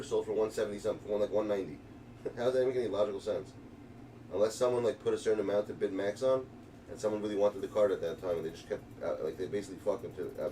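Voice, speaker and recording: New streamer, distant and noisy. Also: male voice, fairly low pitch. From New York. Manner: excited, slangy, rambling. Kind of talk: casual gaming livestream commentary. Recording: distant mic with clear background noise.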